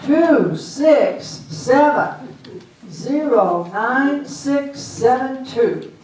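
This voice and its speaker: A woman's voice: high voice, animated, drawn-out words, a little mellow, slight background noise, full character voice. Woman playing a patient wife, distant and echoey. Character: Janet, patient, brisk, capable wife of befuddled husband. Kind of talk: readers theater comedy acting. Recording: echoey hall, far from mic.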